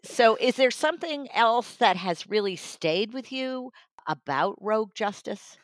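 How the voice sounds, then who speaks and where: A woman's voice: fairly high pitch, animated, measured pace, dry recording. A woman hosting a podcast interview in a conversational way, asking questions and responding to her guest. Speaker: interview host.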